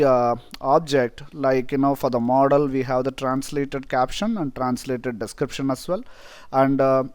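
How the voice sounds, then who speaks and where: A man from India, a Tamil native speaker with an Indian accent, explaining a software feature step by step with many "uh" fillers. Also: mid-range voice, dry recording. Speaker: software tutorial presenter. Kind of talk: screen-recorded software tutorial.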